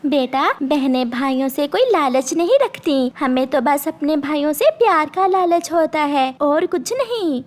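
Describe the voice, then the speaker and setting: Very high-voiced gentle mother bird. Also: lively intonation, a little slow, hardly any pauses. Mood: tender. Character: gentle, hurt mother bird, devoted sister. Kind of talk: Hindi children's cartoon dubbing.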